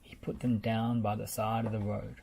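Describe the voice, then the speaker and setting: A storyteller reading a children's picture book aloud in a measured, deliberate way, with background noise. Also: medium pitch, level tone, vocal fry.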